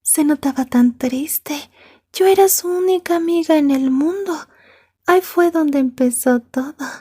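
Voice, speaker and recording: A woman's voice: high-pitched, unhurried, warm tone, dry recording, clean studio sound. A woman playing an obsessive, sweetly menacing yandere schoolgirl in an ASMR roleplay, murmuring with mock-polite menace. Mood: smitten, gloating.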